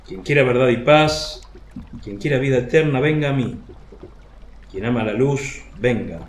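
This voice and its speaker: An actor playing a mature, thoughtful fisherman in a radio drama, calm, measured and earnest. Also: mid-range voice, close to an everyday voice. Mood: solemn, persuasive. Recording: roomy, faint noise, water ambience.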